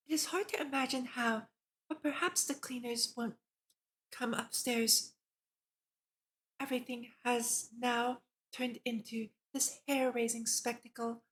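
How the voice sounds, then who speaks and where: Bright, high-pitched woman streamer. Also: rough recording. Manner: giggly, expressive, exclaims in reaction to the text. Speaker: VTuber streamer hosting a visual novel read-along. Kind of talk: casual livestream chat mixed with reading aloud.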